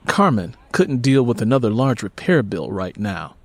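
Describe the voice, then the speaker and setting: A man narrating scripted fiction as an audiobook reader, in an expressive storytelling style. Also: medium pitch, normal speed, dry recording.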